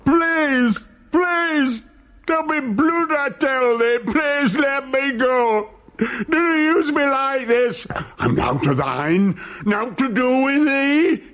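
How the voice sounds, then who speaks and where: An anguished male ghost with a Northern English dialect. The high, husky voice swoops widely and drags out its archaic pleas and threats very slowly, as radio-play acting. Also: animated, fairly bright tone, full character voice. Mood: tormented pleading. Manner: pleading, drawn-out, archaic speech.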